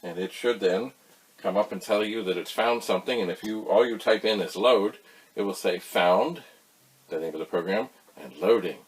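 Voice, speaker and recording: Husky low-voiced man explaining casually. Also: poor audio. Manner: folksy, rambling, emphatic pauses. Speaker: host of a retro computer tutorial channel.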